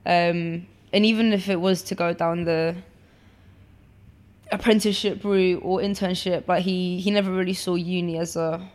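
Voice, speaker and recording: A woman's voice: lowish voice, fairly even intonation, moderate pace, darkish tone. A woman, chatting casually as an interview guest with many fillers and restarts. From born in Poland, raised in London. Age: 20s. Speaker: guest, photographer.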